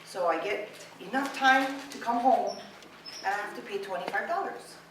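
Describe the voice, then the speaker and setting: A woman's voice: mid-range voice, moderate pace. A middle-aged woman from Hawaii with a Hawaiian local accent, telling personal stories informally to an audience, unapologetic and conversational, often checking in with short tag questions. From Hawaii, United States. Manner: unapologetic, conversational, peppered with "right?" and "okay?". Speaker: speaker giving a talk. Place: university classroom. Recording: very echoey room, distant mic, clear noise.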